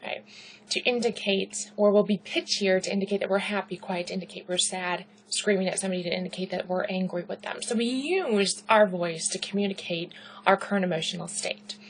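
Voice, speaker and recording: A woman instructor giving a recorded lecture, talking loudly in a pitchy, conversational way and checking in with "right?" and "okay". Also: brisk, a little hiss or hum, rough recording. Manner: loud, pitchy, conversational with rhetorical questions.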